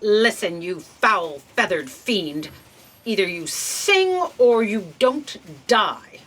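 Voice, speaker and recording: A woman's voice: mid-range voice, very animated, takes it slowly, fairly bright tone, full character voice. A woman playing an imperious, exasperated boss in a comic audio drama, commanding and sarcastic in a theatrical way. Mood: threatening. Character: imperious exasperated female boss of a bureaucracy of fate.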